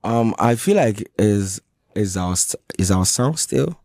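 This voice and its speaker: Ghanaian-accented male artist, low and mellow, halting and defensive. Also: animated, dry recording. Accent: Ghanaian English. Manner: defensive, halting, many restarts. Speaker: musician guest. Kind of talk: radio interview. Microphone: radio studio mic.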